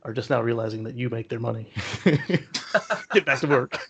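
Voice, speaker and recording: A man's voice: medium pitch, lively intonation, moderate pace, gravelly, dull audio, crowd noise. A man co-hosting a podcast in casual, opinionated discussion, often repeating words as he feels out a point. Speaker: podcast co-host.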